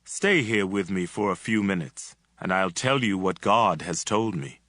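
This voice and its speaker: An actor playing a commanding, earnest Hebrew prophet, instructing in a solemn, declamatory manner for a dramatized audio Bible. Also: male voice, sits low, expressive, moderate pace, fairly bright tone, no room sound, clean studio sound. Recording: music behind the voice.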